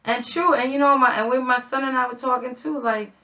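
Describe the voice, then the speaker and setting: A woman's voice: high-pitched, steady tone, fairly quick, bright, forceful tone. A middle-aged woman hosting a podcast, talking casually and leaning on "you know" as she shares her own experience. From not from Connecticut. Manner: conversational, many fillers and "you know". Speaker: podcast host. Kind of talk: casual group discussion.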